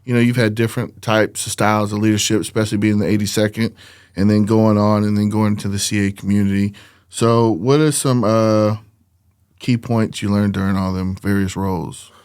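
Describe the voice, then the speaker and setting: A man from Florida hosts a podcast in a smooth, clear voice that sits a little low. The sound is dry and close. He asks easygoing questions and drops in short "yeah" and "mm-hmm" replies. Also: steady tone, normal speed, a little mellow, no room sound. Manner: friendly, short backchannels, "man" endings. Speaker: podcast host. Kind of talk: casual interview chat.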